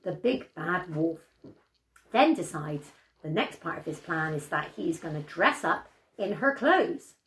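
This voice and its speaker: An older woman telling a bedtime story in an animated storyteller's style. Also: on the low side, measured pace, roomy sound.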